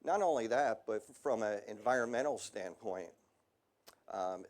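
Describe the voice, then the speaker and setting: A middle-aged man, lowish in pitch, presenting at an industrial seminar. He talks casually and conversationally, padding thoughts with ums and asides. His voice sounds slightly worn. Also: lively intonation, a little slow, darkish tone. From United States. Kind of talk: technical seminar talk.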